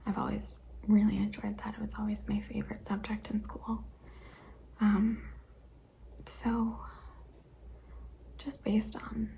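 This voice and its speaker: A young adult woman hosting an ASMR questionnaire video, speaking mostly in a hesitant whisper with frequent ums and soft laughs. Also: medium pitch, gravelly, rough recording. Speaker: ASMR channel host.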